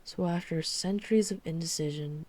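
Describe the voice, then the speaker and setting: A woman playing a dry-witted female vampire hunter in an urban fantasy ASMR roleplay, speaking in a measured, deliberate storytelling manner. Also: low voice, darkish tone, lightly acted. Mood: grave, wry.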